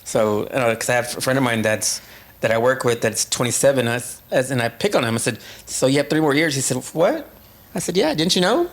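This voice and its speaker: A man's voice: mid-range voice, animated, brisk. Storytelling man in his fifties. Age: 50s. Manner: storytelling, acting out quoted exchanges. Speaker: panel guest. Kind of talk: casual panel discussion.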